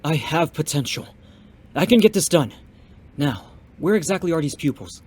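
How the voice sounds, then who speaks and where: A man's voice: on the high side, big pitch swings, normal speed, darkish tone, husky. A man playing an earnest, determined young martial arts student in an audio drama, with an emphatic way of speaking.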